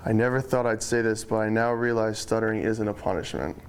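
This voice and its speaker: A young man giving a prepared speech to friends and family, speaking slowly and deliberately in a controlled way. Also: on the low side, fairly even intonation, a little hiss or hum. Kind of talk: prepared speech read to an audience. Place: room with a small audience of friends and family.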